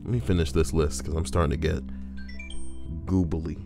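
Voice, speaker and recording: A man's voice: rumbling low, fairly even intonation, moderate pace, vocal fry, music in the background. A man casually reading forum posts aloud on a livestream, breaking in with crude, joking asides.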